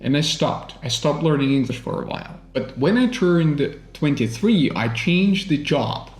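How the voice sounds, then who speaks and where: Young male teacher, Russian native with American accent, unhurried reflective delivery, roomy sound. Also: on the high side. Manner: calm, reflective, addresses viewers as guys. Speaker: English teacher and channel host. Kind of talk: personal storytelling vlog.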